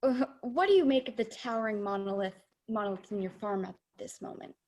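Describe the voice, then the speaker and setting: A woman's voice: medium pitch, fairly quick, a little mellow, natural voice. A young woman in her late teens playing an earnest, formal radio news reporter in a comic radio play. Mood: serious. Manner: formal, dramatic announcing. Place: home room, on a video call.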